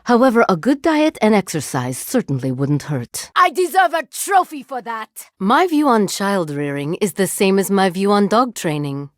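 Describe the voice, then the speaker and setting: An actress voicing a calm, clinical reanimated woman agent who commands drones, speaking in a precise, formal, deadpan way. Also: mid-range voice, moderate pace, few pauses, no echo, clean studio sound, close to an everyday voice. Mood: combat cool. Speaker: video game character. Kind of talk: game voice lines. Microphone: close studio mic.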